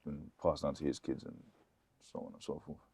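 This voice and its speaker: A man's voice: extremely low pitch, fairly quick, creaky, a little mellow, breathy edge, dry recording. A man with an urban British accent interviewing someone casually, with informal slang and affirming remarks.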